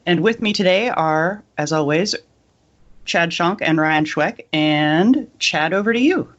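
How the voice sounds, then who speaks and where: A woman's voice: fairly low pitch, lively intonation, measured pace. A woman hosting a podcast in a casual, chatty style. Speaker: podcast host. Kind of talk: casual chat. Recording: slightly dull, lo-fi audio.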